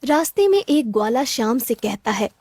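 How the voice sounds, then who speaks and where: A woman's voice: fairly high pitch, big pitch swings, moderate pace, darkish tone, no room sound. A woman narrator reading a scripted children's story.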